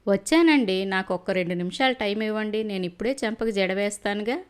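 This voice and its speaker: A woman's voice: medium pitch, fast, clean tone, natural voice. A woman playing a proud, doting young mother in a cartoon story, fussing affectionately.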